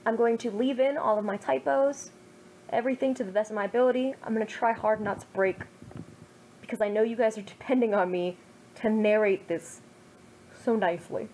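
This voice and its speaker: A woman's voice: fairly high pitch, steady tone, a little fast, a little mellow, a little hiss or hum, rough recording. A woman in her twenties, a streamer reading her own old fan fiction with self-mocking, over-the-top jokes. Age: 20s. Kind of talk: casual chat then dramatic story reading.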